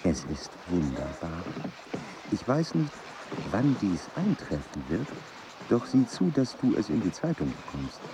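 An actor playing an explorer dictating a letter to his brother in a slow, savoring way in a radio play. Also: low-pitched, very animated, noisy background. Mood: rapt, eager.

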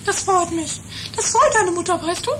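A woman's voice: very high-pitched, normal speed. A woman playing a domineering, melodramatic mother in an improvised comedy radio play, theatrical and bossy. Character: shrill, domineering, melodramatic mother of a teenage son. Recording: noisy amateur 1980s tape.

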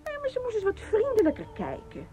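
A woman playing an excitable, gossipy onlooker who chatters and repeats herself in a Dutch radio play. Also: extremely high pitch, brisk, full character voice. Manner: chattering, repeats her words. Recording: radio play with busy crowd behind.

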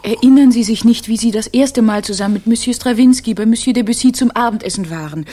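An actress in a German radio crime drama playing a coy, soothing housemaid, deferential and secretive, with a husky voice. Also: female voice, on the high side, fast talker, warm tone, natural voice. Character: coy, soothing, protective housemaid in 1917 Paris. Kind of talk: German radio play acting.

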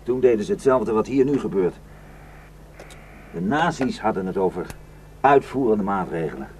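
Radio play part: a man playing a weary, grim fugitive, low and very animated, on a noisy recording. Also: normal speed, natural voice. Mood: bitter, grim. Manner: grim, cursing, rambling storyteller. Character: weary, bitter, well-travelled fugitive warning of massacres. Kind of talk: radio drama acting.

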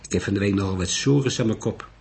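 A man voicing an upset, blunt brothel landlady who talks in a quick, indignant, colloquial way, in an audiobook story. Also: fairly low pitch, creaky, darkish tone, slight background noise. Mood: grumbling.

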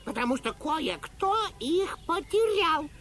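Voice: sits high, big pitch swings, slow, fairly bright tone, exaggerated voice. An actor playing a bossy, pedantic male parrot in a children's audio play, with a didactic, self-important manner. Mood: officious.

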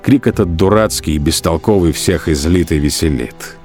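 A man's voice: sits low, moderate pace, a little mellow. A man narrating a literary radio production in a dramatic, scripted storytelling style. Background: faint music.

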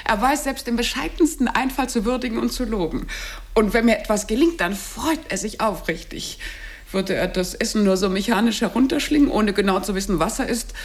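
Actress as a sweetly condescending, self-satisfied housewife. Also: female voice, on the high side, a little fast, lightly acted. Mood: pleased, proud. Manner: polite but pointed, chatty. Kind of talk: German radio play acting.

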